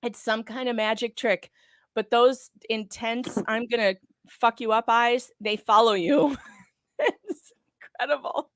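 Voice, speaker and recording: Higher-voiced woman, emphatic and dry-sounding room. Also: fairly even intonation, measured pace, fairly bright tone, dry recording. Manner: gushing, emphatic, repeats phrases. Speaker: guest co-host. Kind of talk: casual chat.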